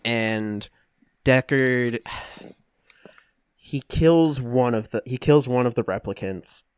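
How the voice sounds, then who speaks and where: A man co-hosting a podcast, casually recapping a film to friends in a halting, conversational way. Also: mid-range voice, clean tone, no room sound. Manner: halting, loosely conversational storytelling. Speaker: podcast co-host.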